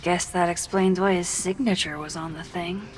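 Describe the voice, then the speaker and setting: A woman playing a wry, inquisitive young amateur sleuth in a video game, musing quietly to herself. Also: on the low side, steady tone, moderate pace, faint music behind, natural voice, poor audio. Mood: puzzled, wry. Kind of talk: naturalistic video game voice acting.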